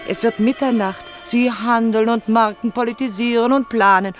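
A woman acting a brisk Greek café owner in a radio drama. She is chatty and blunt, making her points in a practical, matter-of-fact way, with faint music behind her. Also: on the high side, steady tone, lightly acted. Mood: brisk, insistent. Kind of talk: German radio play acting.

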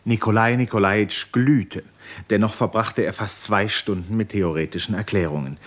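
Low-voiced sober male narrator. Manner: measured, sober. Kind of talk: scripted literary narration, 1950s radio play.

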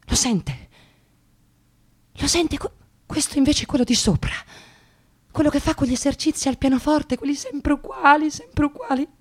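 An actress playing a nervous, tired young unemployed woman in a radio monologue, halting and agitated, repeating her words. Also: high-pitched. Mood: distraught. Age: young adult. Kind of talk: radio theatre dramatic monologue.

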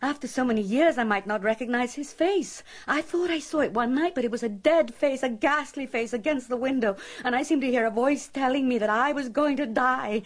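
An actress in a radio drama playing a frightened, haunted married woman who confides her past with dramatic, deliberate pauses. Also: sits high. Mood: terrified.

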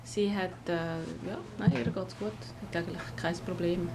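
A woman explaining in a casual interview, haltingly searching for words. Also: fairly low pitch, steady tone, over loud music. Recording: noisy field recording with ambience.

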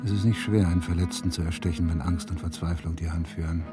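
Deep-voiced brooding detective, played by a man. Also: steady tone, quick pace, loud music behind the voice, close to an everyday voice. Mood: brooding, reflective. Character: brooding, stern male police detective, weary and terse. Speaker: lead actor and narrator in a crime radio play. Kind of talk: German radio drama acting, inner monologue.

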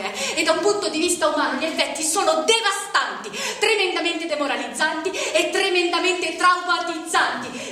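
A sardonic, mocking woman, played by an actress who half-sings her jabs. Her voice is very high and sharply bright. It rings around an echoey stage recording. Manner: sarcastic, half-singing, mocking. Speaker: stage actress in a radio broadcast of a play. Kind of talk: theatrical monologue.

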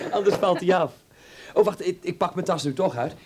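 An actor playing a stylish, wry and slightly theatrical young son in a Dutch radio play. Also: male voice, high-pitched, lively intonation, normal speed, darkish tone, slight background noise. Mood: bubbly. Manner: teasing, flippant.

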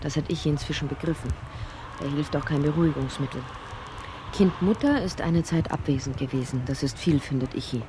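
An actress playing a brooding, introspective narrator of a dreamlike literary radio drama, with a soft, breathy, husky delivery. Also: female voice, low voice, background noise, natural voice. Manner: measured, introspective delivery, sometimes sinking into whispers.

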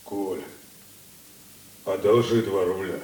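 Cheeky cop, off-mic, echoey. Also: male voice, low voice, slow pace, darkish tone. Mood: breezy, cajoling. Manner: breezy, playful, mock-formal. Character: cheeky, cheerful male police operative, former docker. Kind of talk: Russian radio drama acting. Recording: echoey, noisy, distant from mic.

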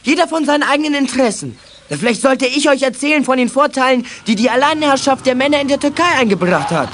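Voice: sits high, animated, fast, a bit bright. An actor playing an outspoken, sarcastic youngster in a detective gang, in a children's radio play. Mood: sardonic. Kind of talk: 1980s German children's radio play acting.

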